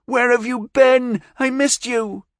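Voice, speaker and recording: An excitable young girl with a raised, bright-sounding voice and a lively, bouncing pitch, as a man puts her on. She talks eagerly and quickly, recorded dry and close. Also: dry recording.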